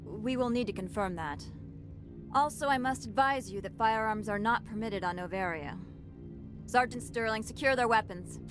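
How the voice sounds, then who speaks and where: A woman's voice: fairly high pitch, normal speed, over music, lightly acted. An actress playing a stern, formal, authoritative female security captain in a video game. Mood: stern, commanding.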